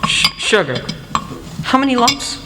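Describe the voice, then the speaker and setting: A woman's voice: fairly high pitch, sing-song, unhurried, pushed and bright, exaggerated voice. A young woman playing a shy, polite, lonely teenage boy in a radio play, hesitant and courteous. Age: teenager or young adult. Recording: echoey hall, faint noise.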